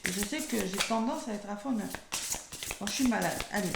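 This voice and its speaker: Hoarse French woman, gravelly voice. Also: mid-range voice, echoey room, noisy background. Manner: soft-spoken, slow and measured when reading cards aloud. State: losing her voice, hoarse. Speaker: tarot card reader. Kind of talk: weekly horoscope card reading.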